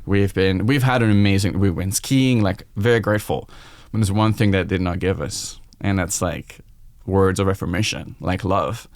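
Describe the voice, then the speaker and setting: A man in his forties speaks English with a French accent, his low voice open and reflective as he tells his story. The sound is dry and close, with no room around it. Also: normal speed, hardly any pauses, no room sound. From France. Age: 40s. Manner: reflective, open, quoting his own thoughts aloud. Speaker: guest chef. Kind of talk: candid podcast conversation.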